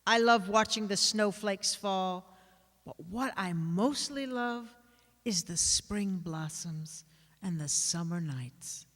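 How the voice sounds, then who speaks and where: Slow, dramatic woman reader in echoing hall. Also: mid-range voice, a bit bright. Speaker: actor reading aloud. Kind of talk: dramatic literary reading. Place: large indoor hall at a live reading. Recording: echoey hall with long reverb.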